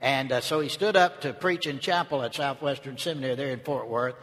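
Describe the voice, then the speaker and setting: Older male preacher, Southern US accent, folksy and conversational sermon delivery, mid-pitched, long church reverb. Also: a little fast, fairly bright tone. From North Georgia, United States. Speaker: visiting preacher, seminary teacher. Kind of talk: sermon, informal opening remarks to a congregation. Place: large indoor church space.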